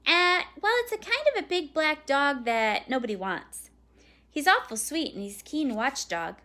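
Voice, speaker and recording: A woman's voice: high voice, big pitch swings, moderate pace, sharp tone, voice pushed up, heavily acted. A woman voicing an eager, earnest young boy in a storybook, with an emphatic, boyish manner. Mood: eager, hesitant.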